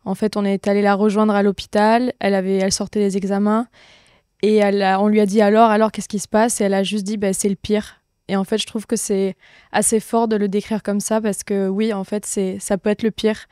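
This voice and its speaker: Woman with a dark, steady voice. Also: medium pitch, fairly quick, clean tone, no room sound, studio quality. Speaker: podcast guest. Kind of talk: personal, casual conversation.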